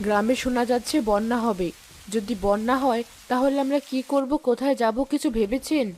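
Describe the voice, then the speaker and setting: A woman's voice: a little high, fairly even intonation, brisk, a little mellow, natural voice. A woman voicing a village headman's compassionate, outspoken wife in a cartoon, reproachful and moralizing. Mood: worried, reproachful. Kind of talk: cartoon voice acting.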